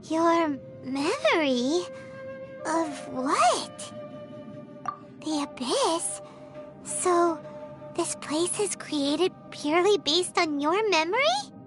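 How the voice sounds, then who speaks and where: Childlike fairy sidekick, very high squeak, slow hesitant delivery, curious, video game. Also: female voice, big pitch swings, over music, heavily acted. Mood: curious, puzzled. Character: tiny childlike floating fairy companion, timid and curious.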